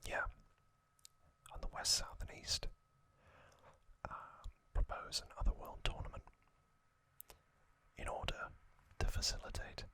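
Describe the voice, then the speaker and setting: A man whispers his way through a relaxed ASMR recap, slow and unhurried. His whisper is crisp and bright, and he hesitates often with soft ums between thoughts, giving casual fan opinions as he goes. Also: rough recording. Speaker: ASMR host.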